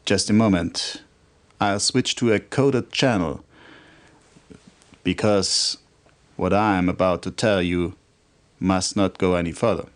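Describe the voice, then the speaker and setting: An actor playing a fervent, conspiratorial military officer in a video game cutscene, speaking in a formal, emphatic, declamatory way. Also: male voice, sits low, slow pace, long pauses. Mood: secretive. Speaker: military officer briefing a commander.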